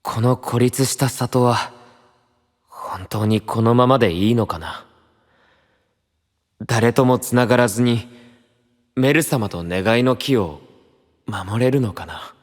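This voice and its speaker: A man playing an earnest, troubled young knight of a secluded kingdom in a Japanese fantasy voice drama, soft-spoken, measured and sincere. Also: medium pitch, no room sound, clean studio sound. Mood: troubled, pensive.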